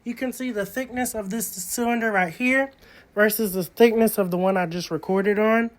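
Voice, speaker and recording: A man's voice: high voice, moderate pace. A man presenting an informal, hands-on demonstration of antique recording equipment, explaining each step as he goes.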